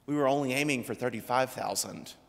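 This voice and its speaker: A man in his thirties preaching a sermon from a church pulpit, measured and deliberate, his phrases trailing off low. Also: vocal fry. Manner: slow, measured preaching with trailing drops in pitch. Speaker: priest. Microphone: pulpit microphone. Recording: long church reverb.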